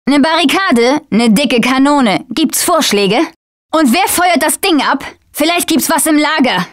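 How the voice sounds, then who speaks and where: A young female survivor of a zombie apocalypse in a video game, tough and sardonic. Her voice is high and she takes her time over clipped, informal lines. The sound is dry, with no room around it. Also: lively intonation, unhurried, dry recording. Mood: alert. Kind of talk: German video game dub, short combat callouts.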